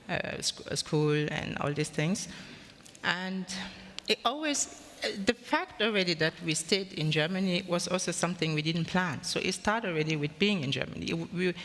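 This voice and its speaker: A woman's voice: fairly low pitch, fairly bright tone, in a large hall. A woman from Cameroon, a guest telling her life story on stage, hesitant and restarting her sentences.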